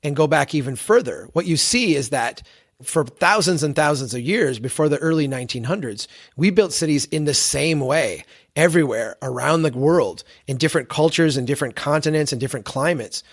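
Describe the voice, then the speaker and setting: A man with an American accent and a fairly high voice speaks as a podcast guest. He explains his ideas reflectively, using examples and asking rhetorical questions along the way. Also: expressive, a little fast. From small town in central Minnesota, US. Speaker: podcast guest, engineer and planner. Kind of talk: explanatory interview talk with anecdotes.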